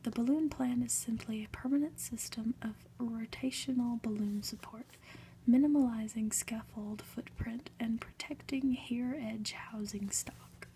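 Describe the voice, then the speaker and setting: Woman acting patronising male politician, formal whisper, soft dark tone, ASMR storybook, faint noise. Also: a little high, steady tone, measured pace, rough recording. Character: condescending male prime minister dismissing a professor.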